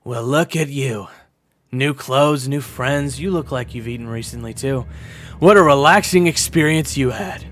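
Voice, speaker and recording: A man's voice: medium pitch, normal speed, close to an everyday voice. An actor playing a bitter, furious young man who swears and mocks his brother in an audio roleplay. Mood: sarcastic. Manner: shouting, swearing, sarcastic.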